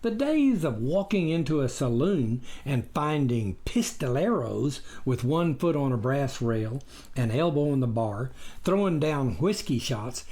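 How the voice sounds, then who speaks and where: A man narrates a memoir like a practiced storyteller. His delivery is dramatic and expressive, with pitch that moves widely through each sentence. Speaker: author reading his own memoir. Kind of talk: scripted audiobook narration.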